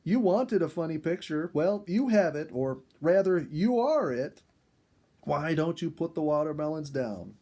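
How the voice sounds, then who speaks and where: A man voicing a kindly, practical, animal-loving wife in a storybook, affectionate and gently teasing. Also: fairly high pitch, expressive, measured pace, pitched above the performer's own voice. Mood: amused, teasing.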